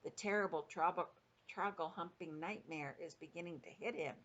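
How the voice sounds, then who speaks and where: A woman voicing a gentle, earnest male giant in a children's storybook, speaking in quaint, muddled grammar. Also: lowish voice, normal speed, lo-fi sound. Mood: hushed. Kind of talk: dramatised children's storybook reading.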